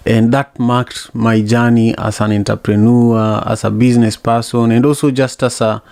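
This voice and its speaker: A man from Kenya hosting a podcast interview, asking questions in a measured, steady way. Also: medium pitch, creaky, smooth, no room sound. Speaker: podcast host.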